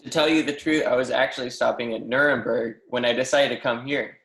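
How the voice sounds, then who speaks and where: A man's voice: medium pitch, fairly even intonation, fairly quick, fairly bright tone, slight background noise, natural voice. A man playing an eager, deferential young mathematics professor in a stage play reading, earnest, effusive and formally polite. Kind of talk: readers theatre performance of a history play. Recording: echoey room.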